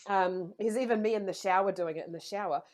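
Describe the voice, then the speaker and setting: Earnest, energetic woman guest. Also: medium pitch, quick pace. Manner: earnest, energetic, repeats phrases. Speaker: interview guest. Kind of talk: candid conversational storytelling.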